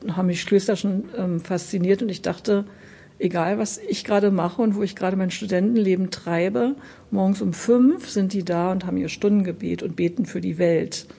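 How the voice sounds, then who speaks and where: Adult female interviewee with a husky, gravelly edge, thoughtful unscripted delivery, mellow tone. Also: mid-range voice, moderate pace. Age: adult, past twenties. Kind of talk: spontaneous reflective interview speech.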